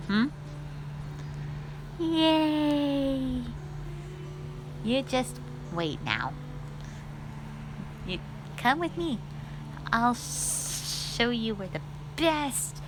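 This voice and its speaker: A woman voicing a sassy, cute, playful lamia in a roleplay audio, chatting bluntly and haltingly to the listener. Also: medium pitch, noisy background. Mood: eager, persuading. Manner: chatty, halting, blunt teasing with eager bursts. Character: sassy, cute female forest lamia, playful half-snake monster. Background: background music.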